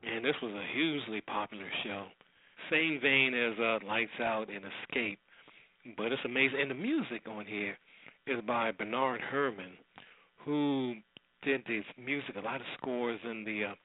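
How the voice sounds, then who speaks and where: A man's voice: medium pitch, normal speed. A man presenting an old-time radio show casually, in an off-the-cuff, hesitant way. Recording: thin phone-like sound, roomy echo.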